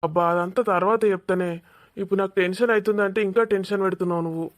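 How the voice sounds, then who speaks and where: A cheeky young village man from a cartoon comedy, voiced by a woman, grumbling and evasive. The voice sits at a middle pitch for a woman and has a casual Telangana accent. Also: fairly even intonation, rapid-fire, natural voice.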